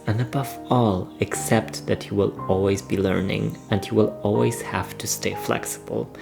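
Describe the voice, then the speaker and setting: A man in his thirties talks straight to the camera in an earnest, encouraging way, now and then sinking to a whisper. His voice sits very low and has a gravelly fry to it. Faint background music plays under his words. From Poland, raised in Germany. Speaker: vlogger and B and B owner. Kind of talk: reflective vlog talk to camera.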